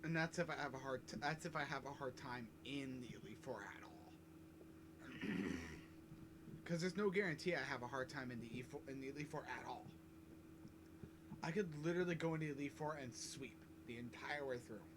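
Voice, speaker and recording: A man's voice: medium pitch, fairly quick, background noise. Rambling young man with a coughing fit. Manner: rambling, swears a lot, self-mocking. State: coughing fits. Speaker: gaming YouTuber. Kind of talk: casual let's-play commentary. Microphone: desk mic.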